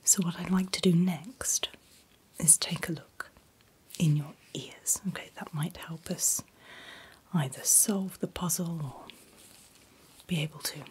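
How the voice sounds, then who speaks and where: Female ASMR performer as a doctor: hushed, breathy, gentle whisper, very slow delivery, audible background noise. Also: fairly low pitch, fairly even intonation. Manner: gentle, unhurried, speaking in short soft phrases. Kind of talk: soft-spoken ASMR medical roleplay.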